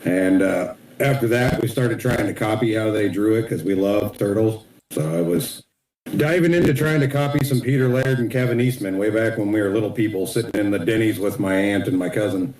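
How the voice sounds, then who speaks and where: A middle-aged man in casual podcast chat as a guest artist, talking in a relaxed, rambling way. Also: lowish voice, brisk, roomy sound, rough recording.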